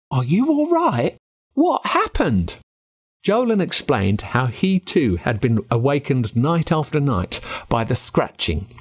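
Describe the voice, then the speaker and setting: An Australian man narrating a first-person spooky story with dramatic, expressive delivery. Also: medium pitch, breathy edge. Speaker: audiobook narrator.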